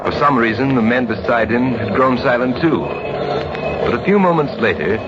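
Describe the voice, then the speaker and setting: A man's voice: medium pitch, animated, moderate pace, heavy background noise. A man narrating a story in a dramatic old-time radio style. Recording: muffled old radio recording. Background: prominent music.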